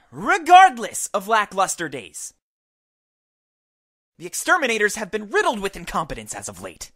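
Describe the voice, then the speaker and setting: A woman's voice: mid-range voice, wildly swooping pitch, a little slow, long pauses, husky, dry recording, clean studio sound, exaggerated voice. A woman voicing a pompous, demanding male leader of an exterminator squad, with formal, theatrical speech in a visual novel. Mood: haughty.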